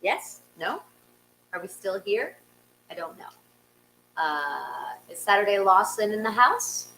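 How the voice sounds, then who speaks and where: A woman's voice: mid-range voice, very animated, slow, gravelly, bright, forceful tone, a little hiss or hum, rough recording. A woman hosting a casual live online show in a playful, slightly hesitant way. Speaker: host.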